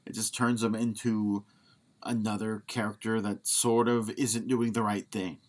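Male podcast co-host, fairly low voice, informal discussion style. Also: little pitch movement, unhurried. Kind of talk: casual film discussion.

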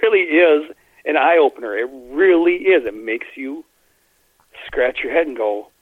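A man chatting about sports on a podcast, lively and quick to imitate the people he talks about. His voice comes through a narrow, telephone-like band and sounds close and dry, with no room around it. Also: on the high side, dry recording. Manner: animated, mimics players' quotes in asides. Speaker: podcast co-host. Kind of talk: casual sports chat.

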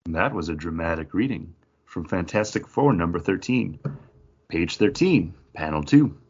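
A man's voice: sits low, expressive, moderate pace, darkish tone, husky, muffled recording. A man hosting a podcast in a casual, chatty style. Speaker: podcast host. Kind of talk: casual chat.

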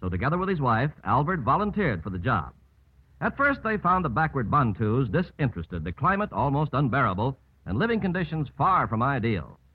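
A man's voice: medium pitch, big pitch swings, fairly quick, breathy edge. A man with an American accent reading scripted narration as a 1950s radio host. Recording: muffled old 1950s radio broadcast.

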